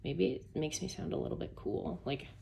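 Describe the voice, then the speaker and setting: A woman with a hoarse, gravelly voice chatting casually at her desk about her planners, rambling through self-deprecating asides. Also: sits low, sing-song, fairly quick, dark tone, a little hiss or hum. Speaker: planner YouTuber. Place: home desk by a sunny window.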